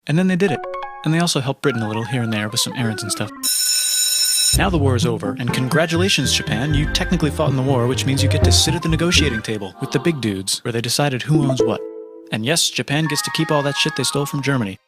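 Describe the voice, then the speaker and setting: Loud music runs behind a man narrating history as comedy from a script. He has a mid-pitched voice and keeps it deadpan, landing short, punchy phrases one after another. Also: fast talker.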